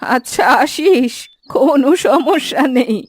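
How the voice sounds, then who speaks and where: A woman playing a brisk, bossy old village granny in a fairy-tale cartoon. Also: sits high, expressive, dry recording. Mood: chatty. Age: older. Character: bossy, busy old village granny who cooks hilsa.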